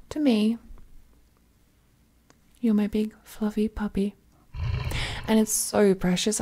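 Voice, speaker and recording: A woman voicing an affectionate, teasing witch girlfriend in an intimate ASMR roleplay, soft and breathy, often slipping into whispers, with tender, playful cooing. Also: medium pitch. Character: affectionate, teasing witch girlfriend soothing her werewolf partner.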